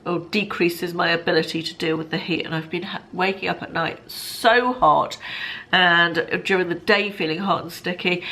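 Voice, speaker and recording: A British woman in her fifties vlogging casually to camera, chatty and rambling, sounding slightly bunged up, in a bright living room. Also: on the low side, poor audio. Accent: British English. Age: 50s. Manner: chatty, friendly, rambling with fillers and self-corrections. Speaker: lifestyle vlogger.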